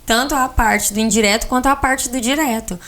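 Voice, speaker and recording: A woman's voice: on the high side, fairly quick, a bit bright. A woman with a Brazilian accent, co-hosting a podcast and talking casually as she asks questions and shares her experience. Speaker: podcast co-host interviewing her partner, architect. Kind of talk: casual conversational interviewing.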